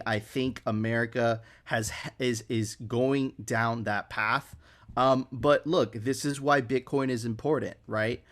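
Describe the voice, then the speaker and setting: A man from Venezuela, co-host of a podcast, talking casually and often restarting his sentences, with "right?" tacked on. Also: mid-range voice.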